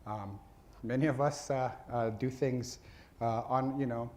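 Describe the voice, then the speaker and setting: A middle-aged man from Hawaii, a dean addressing his school's faculty in an earnest, halting way, with many fillers and restarts. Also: mid-range voice, fairly even intonation, darkish tone. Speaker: dean of a social work school.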